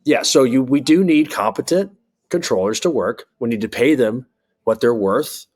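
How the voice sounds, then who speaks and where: A man's voice: medium pitch, expressive, normal speed, a little mellow. An American man speaking candidly as an interview guest, an air traffic controller explaining things bluntly and emphatically with examples and jargon.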